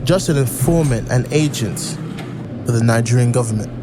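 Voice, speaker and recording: A man's voice: mid-range voice, moderate pace, background noise, natural voice. An actor playing a friendly government informant in his twenties, casual and explanatory, in an audio drama. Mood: knowing, serious. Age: 20s.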